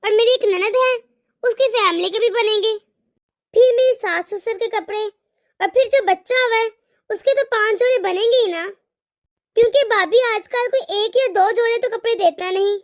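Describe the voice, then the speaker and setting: A demanding married daughter from a cartoon family story , played by a woman, with an extremely high, small voice. She is boastful and entitled, insisting on her wishes with open disdain. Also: normal speed, no room sound, studio quality. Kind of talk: animated family drama dialogue.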